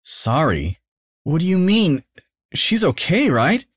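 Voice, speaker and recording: A man's voice: fairly high pitch, wildly swooping pitch, slow pace, breathy edge, voice pushed up, full character voice. A man voicing a reckless, cocky teenage boy who hollers brashly behind the wheel. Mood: disbelieving.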